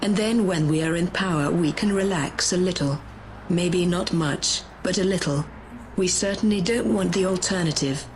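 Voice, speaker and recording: Lady doing a scheming power-hungry sci-fi woman, impatient and threatening, clear humming noise behind her. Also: on the low side, moderate pace, lightly acted, poor audio. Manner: bossy, menacing. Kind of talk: sci-fi audio drama acting.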